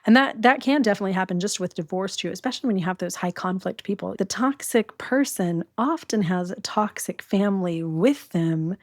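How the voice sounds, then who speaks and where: A woman's voice: mid-range voice, animated, fairly quick, few pauses, no echo, studio quality. A middle-aged woman, a podcast guest, candidly telling her own story in a conversational interview. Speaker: guest, domestic violence survivor.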